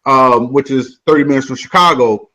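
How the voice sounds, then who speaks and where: A man's voice: mid-range voice, moderate pace, bright, forceful tone, rough recording. A man from the Midwest hosting a casual chat, loose and joking. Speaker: host.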